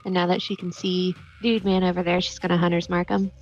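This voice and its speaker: A woman's voice: lowish voice, little pitch movement, brisk, a little mellow, soft background music. A woman playing a tabletop game, steadily describing what her character does. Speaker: player. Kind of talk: casual tabletop play. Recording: dull lo-fi recording.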